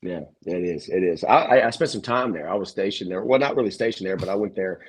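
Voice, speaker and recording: A man from South Louisiana, a podcast guest talking in an easygoing, halting way. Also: sits low, breathy edge. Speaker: gym owner guest. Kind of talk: casual conversation.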